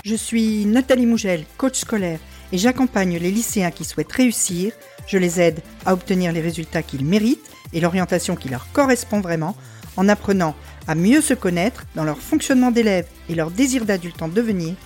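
A woman's voice: mid-range voice, lively intonation, a little fast, a little mellow, soft background music. A woman hosting a podcast as a school coach, reading a scripted introduction and then chatting informally with fillers.

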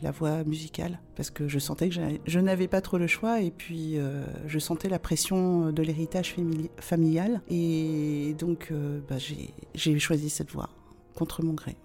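A woman of forty-eight from France speaking conversationally as an interview guest, often pausing to search for her words. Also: sits low, fairly even intonation, mellow. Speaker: podcast guest. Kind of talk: conversational interview, recounting own life.

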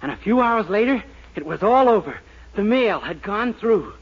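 A man's voice: high-pitched, big pitch swings, moderate pace, breathy edge, exaggerated voice. An actor playing a naive, guileless and slightly dim young man in a 1940s radio sitcom. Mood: earnest, oblivious. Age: young adult. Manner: earnest and guileless. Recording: muffled, noisy old radio broadcast.

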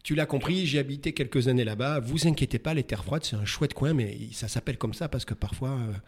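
A man's voice: mid-range voice, fairly quick, slightly rough. A man hosting a casual interview, chatty, with many repeated words and fillers. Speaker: host. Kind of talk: casual interview, warm banter.

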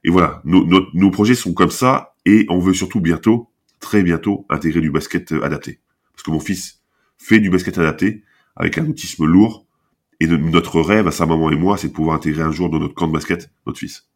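A man's voice: low voice, fairly quick. A talkative man with a head cold, chatting casually as a podcast guest, rambling and restarting his sentences. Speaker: podcast guest, basketball camp founder. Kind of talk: casual interview talk.

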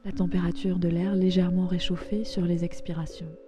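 A woman leading a guided yoga nidra meditation in a soft, soothing, unhurried way, pausing between phrases. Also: on the low side, level tone. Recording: music behind the voice.

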